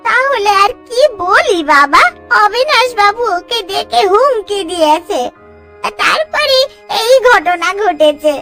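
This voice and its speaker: A woman's voice: very high-pitched, animated, slow, pushed and bright, faint music behind, exaggerated voice. A woman voicing a worried, scolding elderly village wife in a cartoon, fretful in manner. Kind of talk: Bengali moral-story cartoon dubbing.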